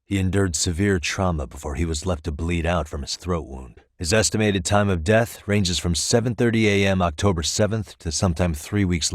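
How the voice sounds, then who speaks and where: A male actor voicing a composed, determined private detective in a video game, with a measured, probing delivery. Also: deep voice, no echo, studio quality. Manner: measured, probing, matter-of-fact. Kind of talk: English video game dialogue dub. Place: dry recording studio.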